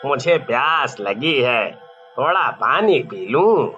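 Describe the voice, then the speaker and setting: A man's voice: medium pitch, big pitch swings, slow, sharp tone, lo-fi sound, soft background music, heavily acted. A man voicing a village thug with a heavy drawl in a cartoon horror story. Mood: casual, curious. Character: forceful male village thug.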